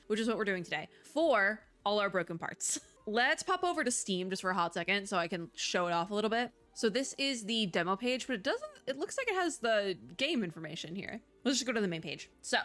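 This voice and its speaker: A woman's voice: mid-range voice, fairly bright tone. Chatty woman hosting game videos. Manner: chatty, rambling, informal. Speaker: video game demo host. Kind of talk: casual unscripted game commentary.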